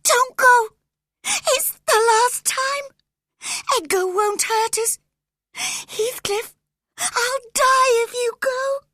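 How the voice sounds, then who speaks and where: A woman's voice: a little high, slow pace, long pauses, a little mellow, husky, no echo, exaggerated voice. An actress voicing a passionate, dying young woman who pleads through tears in an audiobook drama. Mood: frantic. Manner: sobbing, pleading. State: crying.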